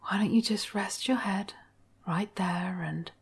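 A woman playing a manipulative, obsessive therapist in an intimate ASMR roleplay, speaking softly and coaxingly, often in a whisper. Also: medium pitch, measured pace, husky. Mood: soothing, coaxing. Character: manipulative, obsessive female therapist, soothing and sly. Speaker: voice actor in audio roleplay. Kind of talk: intimate scripted ASMR roleplay, addressed to the listener.

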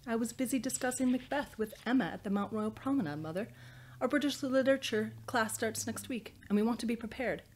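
A woman's voice: a little high, fairly quick, darkish tone, slight background noise, pitched above the performer's own voice, natural voice. A woman voicing an earnest, bookish young daughter who speaks properly and defensively. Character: earnest, bookish twenty-year-old Edwardian daughter.

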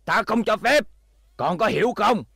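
A man's voice: high voice, expressive, normal speed, creaky, pushed and bright, slightly rough, no room sound, studio quality, full character voice. A man voicing a stern, protective older teacher in a dubbed cartoon, emphatic and scolding. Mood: indignant.

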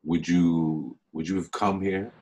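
A young man playing a smooth, evasive online date in a low-key script read over a video call. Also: steady tone, unhurried, mellow. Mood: evasive, calm. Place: dim room at home, indoors.

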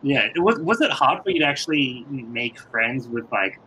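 A man's voice: mid-range voice, animated, bright, forceful tone, slight background noise, low-quality recording. A young man with an Australian accent, hosting a casual video call interview and laughing along. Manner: friendly, quick to laugh along. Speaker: host. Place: room with yellow walls indoors.

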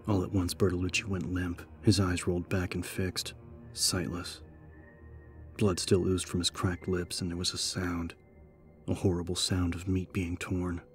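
A man's voice: sits low, completely flat delivery, unhurried. A man narrating a horror audiobook in a scripted, dramatic style. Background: background music.

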